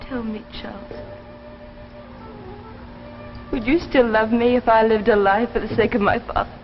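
A woman's voice: fairly high pitch, fairly even intonation, brisk, natural voice. An actress in her twenties playing an anguished, devoted daughter in 1950s television drama, with pleading, melodramatic delivery. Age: 20s. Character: anguished, devoted young daughter of failing inventor. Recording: muffled old TV soundtrack, faint music.

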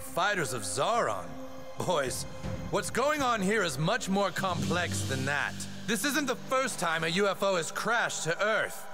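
A man playing an ominous, self-important older government agent delivering dramatic exposition in an animated video game. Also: high voice, a little slow, full character voice. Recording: game audio with music behind.

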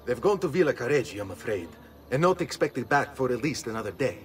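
A man's voice: mid-range voice, very animated, fast, slight background noise, lightly acted. Man playing a courteous Italian servant, sing-song delivery. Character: polite, welcoming Italian household attendant. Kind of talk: video game character acting.

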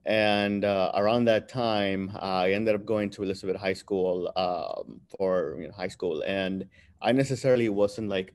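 A man in his thirties, born in Peru and raised in New Jersey, telling his life story as an interview guest on a video call, relaxed and filler-heavy. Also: sits low. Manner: relaxed, many fillers, laughs between phrases. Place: room with bright window behind. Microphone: webcam on video call.

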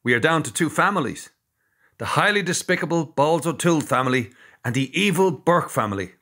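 Man acting a high-pitched game-show presenter. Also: animated, sharp tone, full character voice. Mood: showy. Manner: presenterly, measured announcing. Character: showy male TV contest presenter. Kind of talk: comedy radio drama acting.

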